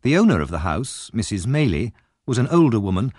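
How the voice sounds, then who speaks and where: A male audiobook narrator reads a story with a very expressive, moving pitch. The delivery is measured and dramatic, recorded dry and close. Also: no echo.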